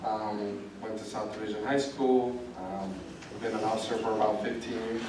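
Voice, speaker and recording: A middle-aged man, a police officer from Puerto Rico and Milwaukee, giving a halting, reflective answer on a panel. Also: fairly low pitch, flat delivery. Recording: echoey hall, distant mic, background noise.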